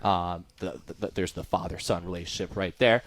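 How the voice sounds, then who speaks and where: A man's voice: sits low, fairly even intonation, brisk. A young American man giving live color commentary on a baseball radio broadcast.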